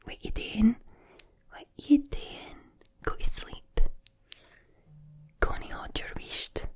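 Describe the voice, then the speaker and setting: A woman's voice: slow pace, a little mellow, natural voice. A woman voicing a blunt, slangy Glaswegian who orders the listener to sleep, whispering with rolled R's in an ASMR performance. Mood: bossy, soothing. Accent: broad Glaswegian.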